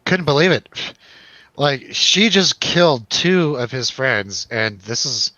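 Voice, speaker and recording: A man in a casual film discussion with friends, talking informally with exclamations and halting restarts. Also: mid-range voice, expressive, pushed and bright, no echo, poor audio. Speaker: film review show host.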